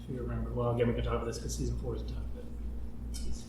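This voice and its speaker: A man's voice: medium pitch, monotone, very fast, heavy reverb, recorded from a distance, noisy background. A man hosting a casual podcast chat, explaining things step by step and laughing often. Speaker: podcast writer and producer.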